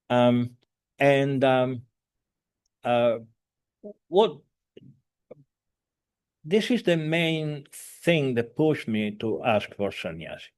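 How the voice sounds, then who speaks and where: A man in his seventies, a spiritual teacher, giving an earnest, halting talk over a video call, with many fillers, restarts and non-native grammar. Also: fairly low pitch, a little mellow. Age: 70s.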